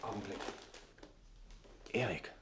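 Low-voiced, commanding conspirator. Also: male voice, slow. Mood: intent. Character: conspiratorial male family member, commanding, searching furtively. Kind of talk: 1960s German radio play acting. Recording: noisy 1960s radio recording with clicks.

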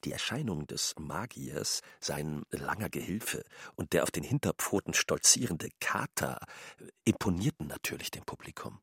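A man narrates a literary radio play with wry colour. His voice is deep and gravelly. The intonation moves in a lively way, recorded dry. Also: moderate pace, no echo.

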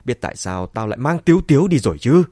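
A man voicing a bitter, cruel middle-aged mother in an audiobook, shouting spitefully and defiantly. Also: medium pitch, very animated, quick pace, breathy edge, no echo, clean studio sound. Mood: venomous.

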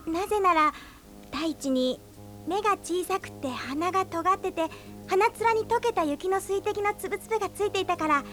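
A woman's voice: very high voice, fairly bright tone, faint music behind. An actress playing a spirited, stubborn young woman in a radio drama, arguing her case insistently. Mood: animated. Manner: quick-witted, debating, insistent. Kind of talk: 1990s radio drama acting.

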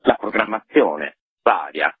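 A man with a husky voice explaining things conversationally and in detail as a festival organiser in an informal radio interview. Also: low voice, lively intonation, takes it slowly, dry recording. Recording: tinny, narrow phone line.

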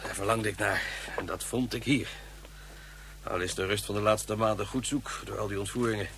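A courteous Martian police inspector. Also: male voice, lowish voice, steady tone, normal speed, a bit bright, a little hiss or hum. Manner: courteous, formal, hesitant fillers. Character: polite Martian police inspector, middle-aged man. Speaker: inspector and tour guide.

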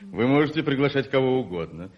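An actor playing a genial, hospitable colonel in a radio drama, relaxed and obliging. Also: male voice, medium pitch, fast talker, dull audio, natural voice.

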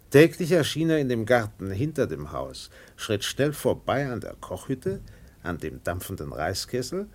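Radio drama narrator: grave seafaring storyteller, lowish male voice, sweeping dynamic delivery, dramatic pauses. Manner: measured phrases with dramatic swells and pauses.

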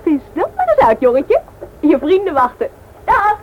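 A woman's voice: extremely high pitch, wildly swooping pitch, moderate pace, full character voice. An actress playing a playful, sassy young woman in a radio play. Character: perky, teasing young woman. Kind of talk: Dutch radio play acting. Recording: dull, hissy old radio recording.

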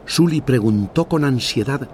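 A man narrating a children's story in an expressive, theatrical scripted style. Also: medium pitch, unhurried, a little hiss or hum.

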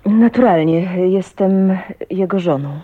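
An actress in a radio drama playing a shy, polite young wife, with a husky, breathy voice and a hesitant, soft-spoken manner. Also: female voice, mid-range voice, unhurried, lightly acted. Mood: hesitant, surprised. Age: young adult. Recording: muffled thin narrow-band radio recording.

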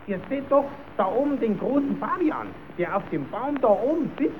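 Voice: mid-range voice, big pitch swings, normal speed. A performer playing a cheeky puppet clown who stammers as he spins tall tales for children in a German radio play. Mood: hushing, secretive. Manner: stammering, repeating himself, improvising tall tales. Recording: tinny, narrowband, hissy old radio recording.

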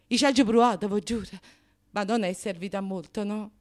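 A woman's voice: medium pitch, fairly even intonation, a little fast, a little mellow, close to an everyday voice. An actress playing a lovelorn, neurotic Neapolitan woman in a theatrical radio drama monologue, confessional and histrionic, swinging between murmurs and outcries. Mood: confiding, rueful.

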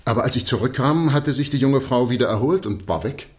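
A man's voice: medium pitch, brisk, a little mellow, close to an everyday voice. An actor playing a deferential, sheepish police constable in a radio drama, respectful and plain-spoken as he explains himself. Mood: sheepish, explaining.

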